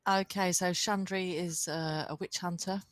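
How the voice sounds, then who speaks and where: A woman's voice: lowish voice, fairly even intonation, a little slow, no room sound. A woman playing a tabletop game who introduces her character cheerfully and playfully. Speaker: player.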